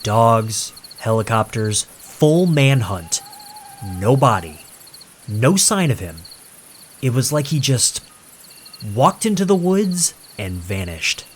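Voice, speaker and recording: A man's voice: medium pitch, very animated, slow pace, slight background noise. Man acting a cocky, hyped-up ghost-hunting streamer. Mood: dramatic storytelling. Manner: showy, confident, dramatic storyteller. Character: cocky young male ghost-hunting livestreamer hyping his audience. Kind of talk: horror story narration, character dialogue.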